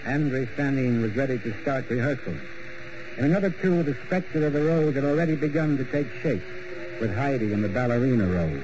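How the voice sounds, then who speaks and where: A man's voice: mid-range voice, natural voice. A man playing a grandiose ballet impresario, declamatory and showy, in 1940s radio drama style. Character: grandiose, excitable male ballet impresario narrating. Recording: muffled, noisy old radio broadcast.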